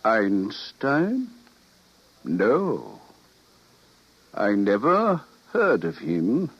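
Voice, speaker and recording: Sardonic, menacing radio-drama official. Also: male voice, lowish voice, huge pitch swings, slightly rough, full character voice. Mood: dismissive. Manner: cool, condescending, deliberate menace. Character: huge, menacing, sardonic government scientist and official, a man. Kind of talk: old-time radio drama acting. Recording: dull old radio recording.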